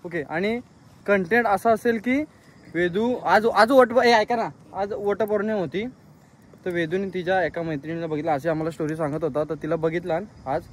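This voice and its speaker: A man's voice: high-pitched, moderate pace. A young man hosting a casual vlog among his friends, addressing viewers informally. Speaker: vlog host.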